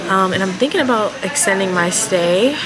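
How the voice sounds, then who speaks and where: A woman's voice: medium pitch, lively intonation, normal speed, over a noisy crowd, low-quality recording. A woman in her twenties talking casually to a handheld camera while she travels, rambling and thinking aloud. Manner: chatty, rambling, thinks aloud with likes and ums. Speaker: travel vlogger. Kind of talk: casual solo travel vlog. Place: hotel room and outdoor restaurant. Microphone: handheld camera at arm's length.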